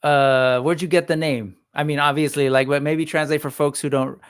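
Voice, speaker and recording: Relaxed man in his forties, dry close sound. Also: fairly high pitch, moderate pace, clear, resonant tone, dry recording. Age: 40s. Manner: relaxed, chatty, lots of fillers and restarts. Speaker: host. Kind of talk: casual chat on a live stream.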